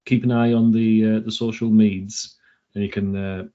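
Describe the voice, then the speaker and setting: A middle-aged man co-hosting a casual comedy podcast, dry and halting, often hunting for words. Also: on the low side, little pitch movement, mellow. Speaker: podcast co-host. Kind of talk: casual banter. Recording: dull lo-fi sound.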